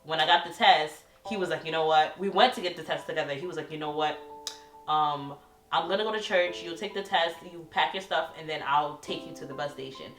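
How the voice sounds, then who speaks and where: A young woman chatting casually as co-host, telling an animated story and acting out what people said. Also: low-pitched, fairly quick. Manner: animated storyteller, acts out quotes. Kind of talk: casual couple chat. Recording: echoey room with music behind.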